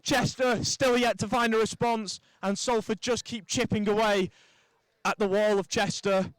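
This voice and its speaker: Young lad doing rugby commentary, bit high, dry close sound, excited then chatty. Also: male voice, moderate pace, no room sound, rough recording. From St Helens, England. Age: young adult. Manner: lively play-by-play with chatty asides. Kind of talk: live sports commentary.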